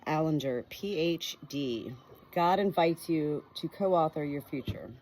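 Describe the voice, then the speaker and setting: A woman's voice: deep voice, lively intonation, unhurried, a little mellow, low-quality recording. Earnest woman reading a faith book aloud. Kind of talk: reading a nonfiction book aloud with personal reflection.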